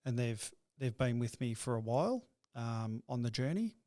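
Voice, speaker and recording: Halting middle-aged man. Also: mid-range voice, sing-song, warm tone, no room sound, studio quality. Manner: halting, repeats words when starting sentences. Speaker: guest, business owner. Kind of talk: reflective interview talk.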